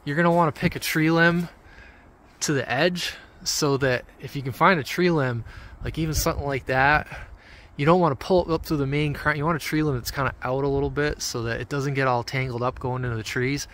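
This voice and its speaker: A man giving a casual, off-the-cuff how-to explanation outdoors in an informal, rambling, halting way. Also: mid-range voice, fairly even intonation, a bit bright. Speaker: hobby radio vlogger. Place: outdoors among trees. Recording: outdoor handheld video with background noise.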